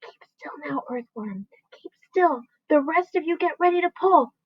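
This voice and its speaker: A woman voicing a brave young boy who gives urgent orders, now whispering, now shouting, in a storybook reading. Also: extremely high pitch, steady tone, normal speed, dry recording, dull audio, voice pushed up.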